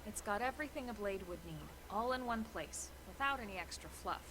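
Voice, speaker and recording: A composed female military officer acted by a woman from a video game, mid-pitched and measured. She explains rules firmly and in a businesslike way, with clear noisy ambience behind her. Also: lively intonation, lightly acted. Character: composed, serious adult female military officer guiding a recruit. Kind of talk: English-language video game voice acting.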